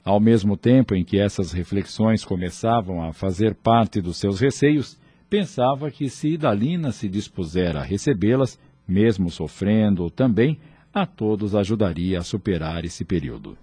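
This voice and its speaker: Dark, husky male narrator. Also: lowish voice, expressive, moderate pace, no room sound. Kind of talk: scripted radio drama narration.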